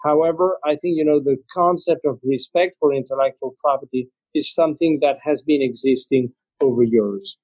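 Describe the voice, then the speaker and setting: A man's voice: mid-range voice, normal speed, hardly any pauses, a little mellow, husky, no room sound, rough recording. A man from Spain answering interview questions about brands and trademarks, talking fluently with many "you know" fillers. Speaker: interview guest, trademark association representative.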